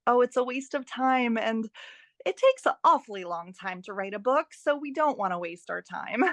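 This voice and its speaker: A woman's voice: on the high side, animated, moderate pace, no room sound, clean studio sound. A woman giving encouraging, emphatic advice as an author and writing teacher in a conversational podcast interview. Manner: enthusiastic, encouraging, emphatic repetition.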